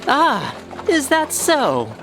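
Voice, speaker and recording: A woman's voice: high-pitched, huge pitch swings, extremely slow, full character voice. A woman playing a genial, easygoing companion to aristocrats, courteous and leisurely, in an anime-style manga dub. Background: music.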